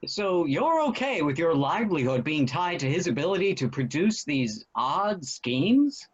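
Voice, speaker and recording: A man playing a cautious but kindly father of a grown son in a play reading, with hesitant, expressive delivery. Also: on the high side, unhurried, slightly rough, heavily acted. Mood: probing. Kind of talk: virtual table read of a stage comedy-drama. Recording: dull, lo-fi call audio.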